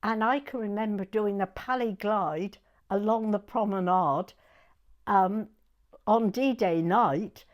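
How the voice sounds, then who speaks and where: A woman's voice: mid-range voice, slow. A woman in her nineties with a southern English accent, reminiscing chattily for an oral history interview and often repeating herself. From southern England. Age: 90s. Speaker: interviewee recalling her youth.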